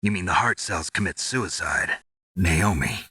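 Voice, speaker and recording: A hard-bitten game soldier with a deep, heavily rasping voice. He speaks tersely and intensely, with a forceful edge, recorded close and dry. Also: unhurried, no echo, heavily acted. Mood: grim, pleading. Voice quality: gravelly, raspy. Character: gruff, world-weary male covert soldier. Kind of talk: dramatic video game voice acting.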